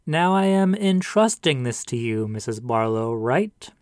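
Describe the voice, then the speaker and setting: A man voicing a pompous, booming male pastor with a preachy, rising delivery. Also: very animated, a little slow, a little mellow, clean tone, dry recording, studio quality.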